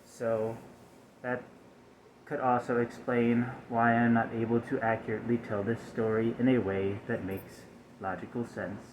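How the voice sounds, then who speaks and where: A man voicing a stiff, aggrieved, malfunctioning robot in a soft-spoken ASMR roleplay, with formal, halting, over-precise phrasing. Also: mid-range voice, flat delivery, a little hiss or hum, close to an everyday voice, low-quality recording.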